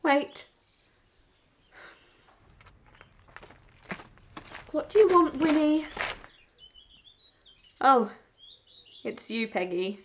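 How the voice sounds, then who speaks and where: A woman's voice: high voice, sing-song, takes it slowly, a little hiss or hum, heavily acted. An actress playing a strict, disapproving mother in a wartime audio drama, prim and scolding in manner. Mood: brusque.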